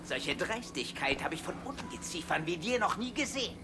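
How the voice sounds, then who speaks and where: A man's voice: high-pitched, sing-song, a little fast. A man playing a sadistic, contemptuous German prison guard in a video game, with theatrical, sneering delivery. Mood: mocking disbelief. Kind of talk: video game villain acting. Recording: loud music under the voice.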